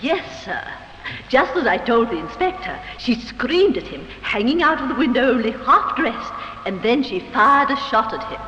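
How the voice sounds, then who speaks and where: An actress playing a prim, nosy neighbor in a 1950s radio drama, self-important and gossipy. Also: female voice, a little high, very animated, normal speed, sharp tone. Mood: insistent. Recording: dull old radio recording, faint noise.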